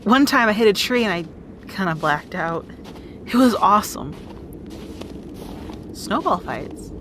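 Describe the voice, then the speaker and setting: Woman acting as a guarded bossy rat princess, kinda slow talker, audio drama, noisy background. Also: medium pitch, expressive, a bit bright. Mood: casual, amused. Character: brusque, guarded young rat princess adventurer.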